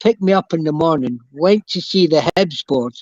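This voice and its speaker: An older man with a Scottish accent, a former footballer chatting about his playing days in a halting, rambling way. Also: on the high side, darkish tone, no echo, dull audio. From Scotland. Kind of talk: casual chat, reminiscing.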